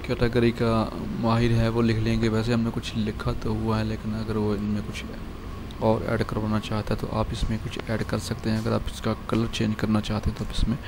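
A man, hosting a screen-recorded software tutorial and calmly walking through a design file with lots of filler words. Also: on the low side, background noise. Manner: calm, unhurried explaining with many fillers. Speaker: channel host showing a design file.

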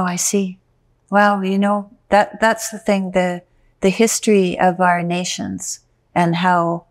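A middle-aged woman hosting a podcast interview, speaking casually with many fillers and quick affirmations. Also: lowish voice, creaky. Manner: warm listener with frequent affirmations, hesitant fillers. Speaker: podcast host. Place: bright room indoors.

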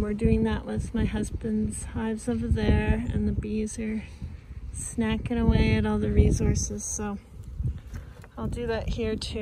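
A woman in her thirties from Canada, talking casually and sounding let down as she inspects a beehive in her backyard, filmed close on a phone. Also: mid-range voice. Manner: disappointed, thinking aloud while inspecting a hive. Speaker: beekeeping vlogger. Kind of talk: casual vlog commentary. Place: outdoors in a backyard bee yard. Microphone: phone camera held close, selfie framing. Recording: loud outdoor noise on a phone mic.

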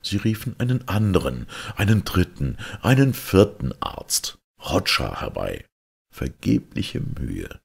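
A man reading a fairy tale as a storyteller, in a dramatic, expressive and deliberate manner. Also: deep voice, gravelly, slightly rough.

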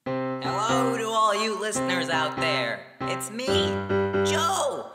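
A woman's voice: medium pitch, big pitch swings, slow pace, roomy sound, big-room sound. A woman playing a casual, offhand soup seller in a comic radio advertisement. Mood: casual, salesy. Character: casual, blasé soup seller pitching own product. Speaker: jingle performer. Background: loud piano music.

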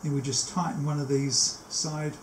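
A man in his sixties giving an unscripted hands-on demonstration, explaining step by step and pausing as he works, picked up by a camera mic in a small workshop. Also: fairly high pitch, little pitch movement. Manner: step-by-step explaining, often pausing mid-task. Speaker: technician demonstrating a tape machine setup. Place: small cluttered indoor workshop. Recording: echoey room, faint background noise.

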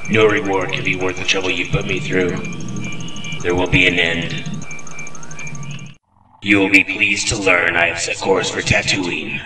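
An actor playing a ruthless, weary bounty hunter in a space drama, formal and deliberately menacing. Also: male voice, deep voice, little pitch movement. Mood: bitter. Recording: echoey, distant, music underneath.

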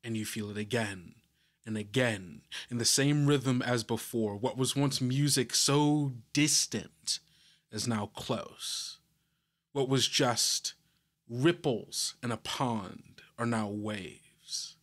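A man narrating a tabletop game as game master, in short deliberate phrases that build suspense. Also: mid-range voice.

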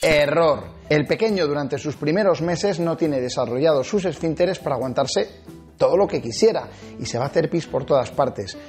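An energetic man with a Castilian Spanish accent, speaking to camera as a dog trainer. His voice sits a little high and jumps up for emphasis, recorded on a lapel mic in a small studio set with faint music underneath. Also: normal speed. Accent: Spanish from Spain. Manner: animated, big hand gestures, emphatic swings in pitch. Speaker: dog trainer and channel host. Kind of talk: energetic tips video to camera.

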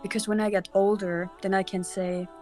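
A woman's voice: mid-range voice, level tone, a little fast, a little mellow. An eighteen-year-old woman, chatting in an easygoing, friendly way with a stranger on a video call. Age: teens. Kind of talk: casual video chat. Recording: faint music underneath.

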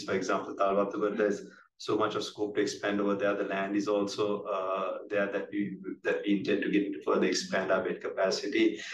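A man with a Sri Lankan accent answering questions as a company executive on an earnings call, halting and measured, often repeating words. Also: fairly low pitch. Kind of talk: business presentation, answering questions. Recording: roomy, distant mic with clear background noise.

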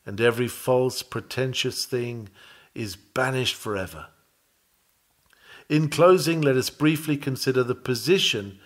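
Man reading a sermon aloud, earnest and formal. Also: medium pitch. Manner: earnest, formal, rhetorical delivery. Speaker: reader of a historical sermon. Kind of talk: sermon read aloud, solemn measured preaching.

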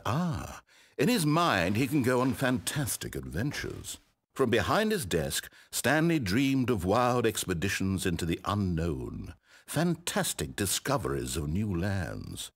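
A man's voice: lowish voice, husky, full character voice. A man with a British accent playing a wry, condescending, controlling narrator in a game, eloquent and theatrical. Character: wry, exasperated, controlling male storyteller narrator. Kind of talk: theatrical scripted storybook narration.

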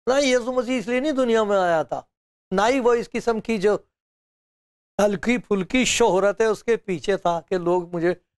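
A man's voice: sits high, moderate pace, clean tone, no room sound. Older man preaching in a studio. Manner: storytelling, acting out quotes with laughs and gestures. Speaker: pastor. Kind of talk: sermon to camera. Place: studio with bookshelves, indoors. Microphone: lapel.

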